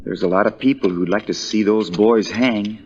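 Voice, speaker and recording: A man's voice: on the low side, moderate pace, a little mellow, breathy edge, lo-fi sound. A man playing a plain-spoken, wry country lawyer with a folksy drawl, in the style of 1940s radio drama. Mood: gently sympathetic. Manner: folksy, drawling, dryly teasing.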